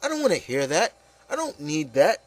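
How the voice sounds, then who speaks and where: A man's voice: fairly high pitch, big pitch swings, normal speed. A man hosting a casual, opinionated discussion, talking emphatically and repeating himself with plenty of "you know". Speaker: host of an opinion show.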